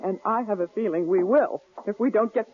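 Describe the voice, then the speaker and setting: An actress playing a nervous young wife in an old radio drama, talking in anxious, hesitant bursts. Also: female voice, mid-range voice, fairly quick, lightly acted. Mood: frightened. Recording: tinny old radio broadcast, faint hiss.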